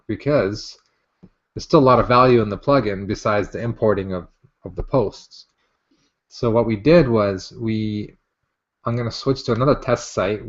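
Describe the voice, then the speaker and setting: Enthusiastic man presenting, dull lo-fi sound. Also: fairly low pitch. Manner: informal, enthusiastic, chatty with viewers. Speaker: software presenter hosting a live online demo. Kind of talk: casual live product demo. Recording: dull, muffled lo-fi online audio.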